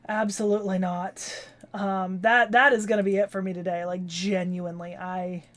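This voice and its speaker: A woman streamer whose voice is going hoarse after a long session of reading aloud. She rambles tiredly with many fillers, at an ordinary pitch. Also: little pitch movement, moderate pace. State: losing her voice.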